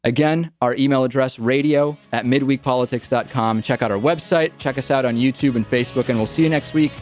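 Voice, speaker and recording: A man's voice: medium pitch, brisk, darkish tone, faint music behind. A young man hosting a political talk radio show, casual and wry, often repeating his words.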